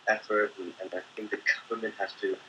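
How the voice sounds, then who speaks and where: A man's voice: on the low side, flat delivery, quick pace, fairly bright tone, dull audio, a little hiss or hum. A man whose first language is Hindi, speaking as a panel guest, hesitant with many fillers.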